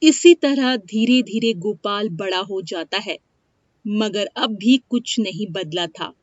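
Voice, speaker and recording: A woman's voice: medium pitch, takes it slowly, no room sound. A woman reading a scripted moral story as its narrator. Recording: dry, dull lo-fi studio sound.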